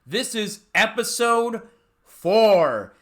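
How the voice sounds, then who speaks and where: A man's voice: high voice, sing-song, drawn-out words, fairly bright tone. A man hosting a reaction video with casual fan commentary.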